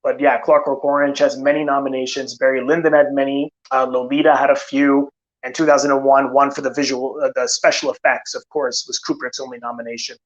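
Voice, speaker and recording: A man's voice: medium pitch, little pitch movement, brisk, dull audio. A man hosting a live film channel stream, chatting casually with many fillers and restarts, tired and losing his voice.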